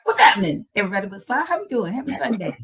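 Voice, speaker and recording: A woman co-hosting a casual podcast, quick-witted and sassy, laughing often at the banter. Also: fairly high pitch, big pitch swings, very noisy. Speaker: podcast co-host. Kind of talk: casual chat.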